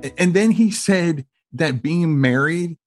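A man's voice: on the high side, expressive, unhurried, over music. A man co-hosting a podcast, upbeat and casual, asking questions with plenty of ums. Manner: upbeat, leads with questions, says um a lot. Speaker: podcast co-host. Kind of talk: casual conversational podcast chat.

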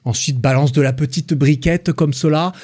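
A young man from France hosting a solo podcast, talking in a relaxed, rambling way with lots of fillers and restarts. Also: on the high side, normal speed, slightly rough. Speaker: podcast host. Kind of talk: casual solo podcast monologue.

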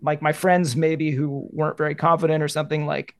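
A man's voice: on the high side, level tone, a little fast, dry recording. A young man chatting casually as a guest, rambling with many "like"s. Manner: rambling, heavy use of "like". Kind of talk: casual conversation.

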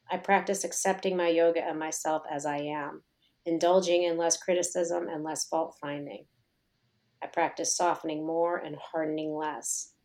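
A middle-aged woman, a yoga teacher, reading her own personal essay aloud in a slow, measured, reflective way. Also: deep voice, darkish tone.

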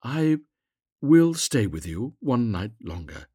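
A man's voice: medium pitch, big pitch swings, slow pace, mellow, breathy edge, heavily acted. A man voicing a small, devoted, kind-hearted male swallow in a storybook, speaking earnestly and with exclamation. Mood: tender resolve.

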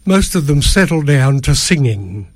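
Slow, measured older man, higher-pitched voice. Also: very animated, no room sound. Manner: formal, measured, careful wording. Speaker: choir member, interview guest. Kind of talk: prepared statement in an interview. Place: radio studio. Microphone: studio microphone.

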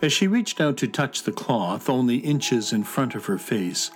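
Casting: male narrator, unhurried and measured, mid pitch, soft music bed. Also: big pitch swings. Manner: slow, measured, descriptive. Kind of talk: scripted storybook narration.

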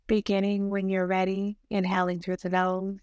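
A woman guiding a meditation in a soothing, gentle manner, giving unhurried instructions with long pauses between short phrases. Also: mid-range voice, monotone, clear, resonant tone, no room sound, studio quality. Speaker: meditation guide. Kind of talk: guided meditation narration.

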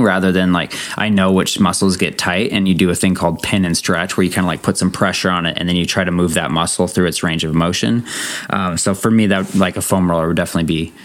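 A man co-hosting a podcast, chatting in a relaxed, joking way about training. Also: deep voice. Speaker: podcast co-host. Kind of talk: casual podcast chat.

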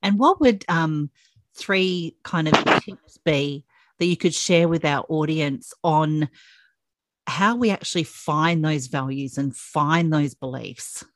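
A woman hosts a podcast interview with a lower-pitched voice, affirming and encouraging her guest with effusive praise. The recording is dry and close, without any reverb. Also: slow pace. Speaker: podcast host. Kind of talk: interview hosting.

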